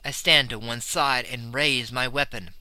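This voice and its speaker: A man's voice: mid-range voice, fairly even intonation, a little slow, bright, forceful tone, dry recording. A man playing a terrified young sailor, telling a horror tale of the sea with intense dread. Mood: vengeful, frantic. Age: young adult. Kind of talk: dramatic first-person horror storytelling.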